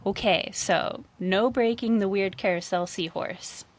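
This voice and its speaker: A woman's voice: mid-range voice, unhurried, lightly acted. A woman reading the part of a sceptical young female shopkeeper in a fantasy story, wry and questioning.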